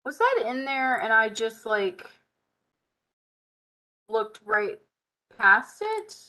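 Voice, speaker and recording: Casting note: woman, hoarse and worn, bright tone, casual live tutorial host, chatty and muttering. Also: fairly high pitch, very animated, drawn-out words. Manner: chatty, Southern "y'all", muttering while working. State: tired, hoarse voice. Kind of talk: live screen-share tutorial, thinking aloud.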